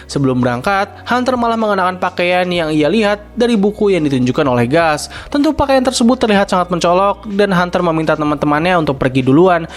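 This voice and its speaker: Young man, Indonesian accent, high voice, quick pace, scripted recap narration, casual slang. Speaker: video host and narrator.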